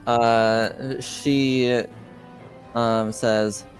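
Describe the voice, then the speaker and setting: Slow, halting male game master. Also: mid-range voice, little pitch movement, soft background music. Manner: deliberate, halting, many pauses. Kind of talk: improvised narration of a tabletop game.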